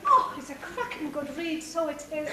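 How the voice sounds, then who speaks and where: A woman's voice: sits high, animated, normal speed. A woman playing a kindly, whimsical fairy godmother in a stage pantomime. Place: theatre stage. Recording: echoey hall from far off, faint noise.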